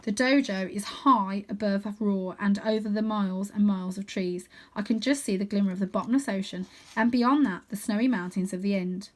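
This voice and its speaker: A woman narrating a children's storybook in an expressive read-aloud style. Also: medium pitch. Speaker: storybook reader.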